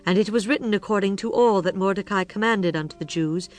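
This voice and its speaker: Female scripture narrator: formal, measured storytelling, mid-range pitch, gentle guitar bed underneath.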